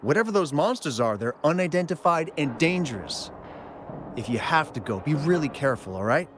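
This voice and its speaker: A man voicing a friendly, excitable townsman in a video game, telling things dramatically with small hesitations. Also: a little high, fairly quick, slight background noise. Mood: worried. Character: friendly, excitable male townsman, storytelling eyewitness of monsters. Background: game music.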